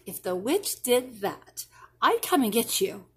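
A woman's voice: a little high, very animated, measured pace, husky, heavily acted. A woman voicing a patient, playful mother who reassures her child with thoughtful answers in a children's storybook. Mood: reassuring. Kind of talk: expressive children's storybook reading.

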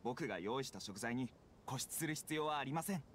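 A man's voice: mid-range voice, lively intonation, fairly quick, a little hiss or hum, close to an everyday voice. A man voicing a polite, eager young food-stall vendor in a Japanese anime game, deferential and helpful.

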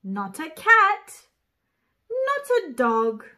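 A woman's voice: very high-pitched, wildly swooping pitch, drawn-out words, bright, forceful tone. A young woman reading a picture book aloud to small children in an exaggerated, playful way, with sound effects. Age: young adult. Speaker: children's storyteller.

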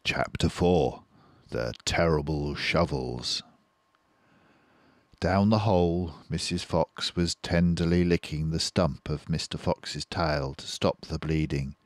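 An older man reading a children's book aloud slowly and expressively, close to the mic in a quiet room. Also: a bass voice, no echo. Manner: slow, expressive, doing all the voices. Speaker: storyteller.

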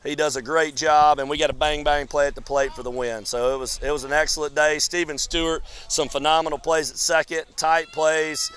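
A baseball coach in his thirties giving a relaxed postgame interview outdoors on a field, talking with many fillers and restarts. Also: on the high side, brisk, hardly any pauses, clean tone. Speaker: college baseball head coach. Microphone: lapel mic.

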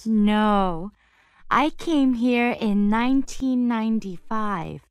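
A woman voicing a friendly young woman in a scripted dialogue for language learners, speaking slowly and carefully. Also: a little high, expressive, smooth, no room sound. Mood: calm. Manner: slow, carefully spoken.

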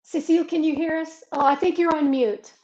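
A woman's voice: high-pitched, fairly even intonation, a little fast, warm tone. A woman moderating a video call panel who encourages the guests and asks each about their journey. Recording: dull lo-fi video call audio.

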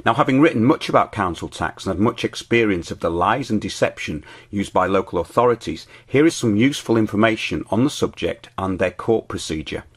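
Husky, low-voiced British man presenting. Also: lively intonation, fairly quick, few pauses. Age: middle-aged. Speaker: show host and presenter. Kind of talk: scripted narration and presenting.

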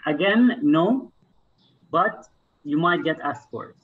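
A man's voice: a little high, animated, slow pace, narrow, tinny audio. A man from Egypt speaking casually and earnestly as an interview guest, thinking aloud as he talks. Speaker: interview guest, climber and environmental advocate.

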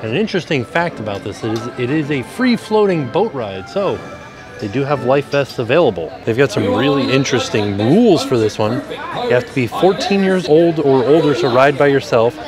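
A man's voice: mid-range voice, animated, normal speed, over music. A man giving informative vlog commentary outdoors at a theme park. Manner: informative, reading rules aloud. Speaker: vlogger.

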